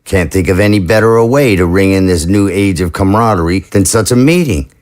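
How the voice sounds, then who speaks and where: An actor playing a trusting, idealistic zombie president in an audio drama, speaking in a formal, oratorical manner. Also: male voice, sits low, brisk, darkish tone, close to an everyday voice. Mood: resolute.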